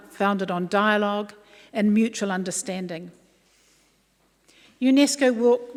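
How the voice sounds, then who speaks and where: A woman giving a formal prepared speech in a deliberate, earnest way. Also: mid-range voice. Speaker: commission chairperson, guest speaker. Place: large indoor hall, public address. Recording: echoey hall, long reverb.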